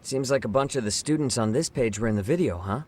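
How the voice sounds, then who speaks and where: An actor playing a young investigator sidekick in an English game dub, informal and full of questions. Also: male voice, medium pitch, fast, darkish tone, a little hiss or hum, lightly acted. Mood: curious. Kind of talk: English video game dub cutscene acting.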